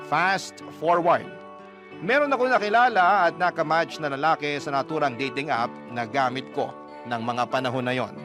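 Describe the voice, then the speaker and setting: A man with a Filipino accent reading a letter as a dramatic, measured radio narrator. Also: fairly high pitch. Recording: prominent music bed under narration.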